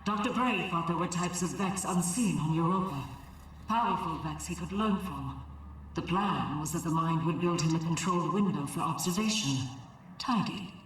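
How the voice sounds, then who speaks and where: Casting: actress as weary, coldly clinical female game AI, deliberate and guarded, heard distant in a long reverb. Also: lowish voice, slight background noise, lightly acted. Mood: firm, wry. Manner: clipped system announcements, deliberate and dry. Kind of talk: video game cutscene acting. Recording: very echoey, distant, music behind.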